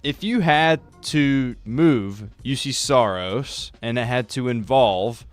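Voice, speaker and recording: A man's voice: mid-range voice, slow pace, dry recording, faint music behind. A man co-hosting a sports radio show, putting questions in casual talk. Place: dry radio studio.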